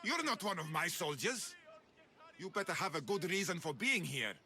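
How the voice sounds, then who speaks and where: An actor playing a ruthless, ambitious Spartan general in a video game. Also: male voice, high voice, big pitch swings, moderate pace, sharp tone, full character voice. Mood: stern. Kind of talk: scripted video game cutscene acting.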